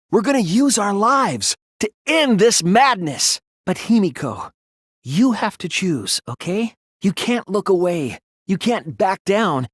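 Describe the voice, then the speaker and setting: A man's voice: high voice, no echo, clean studio sound, exaggerated voice. A young man voicing an earnest, wounded but defiant teenage boy detective in a dramatic video game dub, emotional and emphatic. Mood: quiet, somber determination. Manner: emotional, emphatic, swinging from hushed to impassioned.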